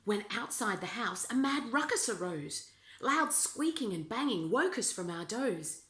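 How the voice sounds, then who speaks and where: A woman reading rhyming children's verse aloud as an expressive storyteller. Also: medium pitch, unhurried. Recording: roomy, echoing indoor sound.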